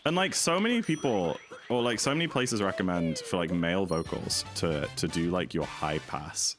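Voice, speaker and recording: A young man with a deep voice, streaming and chatting easily with viewers in a rambling, relaxed way. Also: moderate pace. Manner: rambling, easygoing, full of "like". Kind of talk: casual chat while gaming, answering chat. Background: music.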